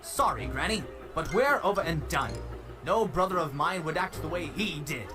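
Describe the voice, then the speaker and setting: A man's voice: on the high side, expressive, normal speed, over music, natural voice. A man playing a showy, stubborn con-artist pony brother in a cartoon comic dub, with a theatrical, grandiose manner. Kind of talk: fan comic dub character acting.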